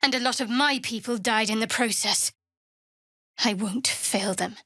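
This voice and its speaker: A woman playing a guarded, sardonic young cleric in a fantasy video game, speaking in a measured, dry, wry way. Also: fairly high pitch, bright, forceful tone, no echo. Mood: guarded, earnest.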